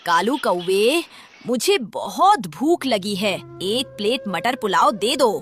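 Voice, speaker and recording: A woman's voice: medium pitch, very animated, slow pace. Casting: woman as broke, hungry cartoon bird of prey, swooping pleading delivery, music behind. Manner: wheedling, pleading. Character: hungry, penniless female kite bird, pleading. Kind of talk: children's cartoon story acting. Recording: music under the voice.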